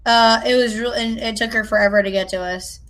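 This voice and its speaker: A woman's voice: on the high side, fairly even intonation, brisk, a bit bright. A woman from South Carolina with an American accent, chatting casually in a playful, self-deprecating, joking way. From South Carolina, United States. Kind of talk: casual chat.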